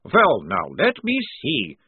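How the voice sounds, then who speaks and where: Man doing a cocky, husky army sergeant. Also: fairly high pitch, sing-song, moderate pace, dry recording, phone-quality sound, voice pushed up. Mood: sarcastic. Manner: sarcastic, drawling. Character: cocky, relaxed captured male army sergeant, mocking.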